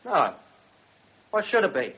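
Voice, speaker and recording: An actor playing a surly, defiant career criminal on trial in a radio drama, speaking bluntly with a husky voice and a working-class English accent. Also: male voice, high-pitched, big pitch swings, measured pace. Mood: guarded, insistent. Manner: blunt, colloquial. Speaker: defendant in a courtroom drama. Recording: muffled, dull radio drama recording.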